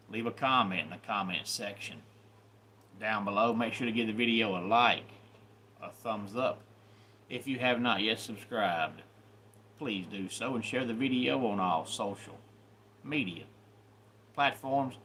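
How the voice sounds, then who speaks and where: Southern man, live-stream host, lower voice, bright forceful tone, faint background noise. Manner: greets viewers by name, promotional announcer delivery. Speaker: YouTube live host and wrestling referee. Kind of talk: casual livestream chat.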